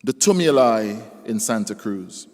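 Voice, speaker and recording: A man reading his poems aloud at a live event, measured and deliberate, lifting his voice for the verse. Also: fairly low pitch, lively intonation, darkish tone. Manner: slow, deliberate recitation that rises in force on the poems. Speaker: poet. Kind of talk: live poetry reading with casual stage banter. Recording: long reverb of a live hall.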